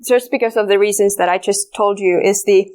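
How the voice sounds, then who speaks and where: A woman with a Finnish accent presents at a security conference. Her pitch sits in the middle range for a woman, and she talks in a loose, conversational way, pausing on fillers and restarting her sentences. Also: steady tone, a little mellow. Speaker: conference speaker. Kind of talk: technical conference talk. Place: conference room.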